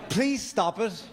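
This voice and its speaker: An Irish man doing stand-up comedy storytelling, with a halting, stammering, repetitive delivery. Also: sits high. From Wexford, Ireland. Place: comedy stage before a live audience. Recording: live club stage, noisy laughing crowd. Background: audience laughter and crowd noise.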